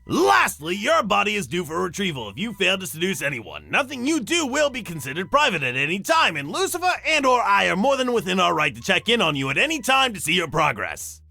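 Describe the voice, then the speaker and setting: A flamboyant demon guide for a visual novel game, cocky and bawdy. The voice swoops theatrically and has a bright, cutting edge, with music playing underneath. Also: medium pitch, wildly swooping pitch, fairly quick, creaky, hardly any pauses, no echo, full character voice. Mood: officious. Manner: showy, self-satisfied, swooping delivery.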